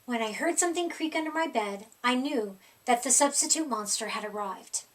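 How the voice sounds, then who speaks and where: A woman reading a picture book aloud as expressive children's story narration. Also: fairly high pitch, moderate pace, far from the mic. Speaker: storybook reader.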